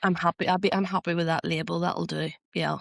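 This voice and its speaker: A woman's voice: fairly low pitch, level tone, brisk, gravelly, no room sound, studio quality. A woman in her forties from Belfast with a Northern Irish accent, speaking as an interview guest in an articulate, reflective way and thinking aloud. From Northern Ireland. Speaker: guest, philosopher and researcher. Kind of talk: podcast interview.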